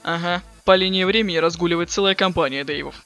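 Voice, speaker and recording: A sardonic, slangy teenage boy voiced by a man from a webcomic dub. He rattles lines off quickly in a highish, sharp voice. He is impatient and too cool to care. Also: faint music behind. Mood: matter-of-fact. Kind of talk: dramatic reading of a webcomic chat.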